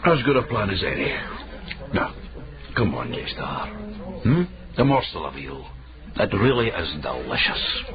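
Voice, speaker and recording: An actor playing a shrewd, low-born middle-aged Scottish investigator in a radio drama, dry, teasing and guarded. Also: male voice, big pitch swings, a little slow, pauses often, over music. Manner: dry, sardonic, laconic. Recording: dull, noisy radio drama recording.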